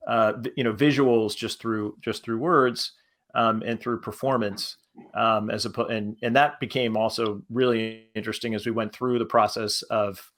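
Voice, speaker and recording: A man speaking as a podcast creator in a panel discussion, with a halting, thoughtful delivery that often repeats words. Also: fairly low pitch, steady tone, fairly bright tone. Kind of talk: panel discussion, unscripted talk.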